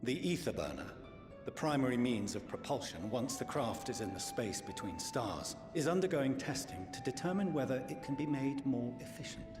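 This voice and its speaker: A man playing a grave, analytical official in a video game, speaking in a measured, formal, precise way. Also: medium pitch, big pitch swings, close to an everyday voice. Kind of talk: scripted video game voice acting. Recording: echoing game audio under loud music.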